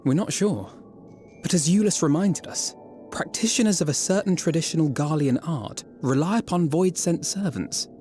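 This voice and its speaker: A man's voice: on the high side, moderate pace, warm tone, soft background music, natural voice. A man acting an earnest soldier in a video game cutscene, giving a formal, composed, articulate briefing.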